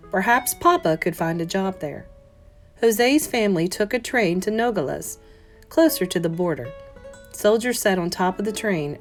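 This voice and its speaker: A woman reads a children's story aloud like a classroom teacher. Her voice sits at a medium pitch, with expressive emphasis and careful phrasing. Soft plucked guitar plays behind her. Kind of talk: expressive read-aloud of a children's picture book. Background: plucked guitar music.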